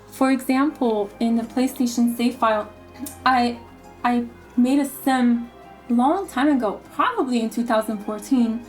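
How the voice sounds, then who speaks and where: A woman who is losing her voice, talking casually about her game plans in a halting way, repeating words and filling pauses with um. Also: a little high, rough recording. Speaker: gaming YouTuber. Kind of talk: casual gameplay commentary.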